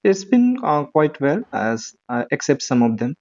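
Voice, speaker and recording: A man learning spoken English in an online lesson, speaking politely and hesitantly with many pauses. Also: mid-range voice, very animated, a little mellow, lo-fi sound. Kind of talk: online tutoring conversation.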